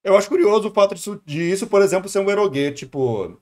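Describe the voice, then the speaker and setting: Brazilian man, fairly high voice, streamer-style reactions, informal, chatty and rambling. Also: lively intonation, fast talker, over loud music. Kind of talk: casual off-the-cuff reaction commentary.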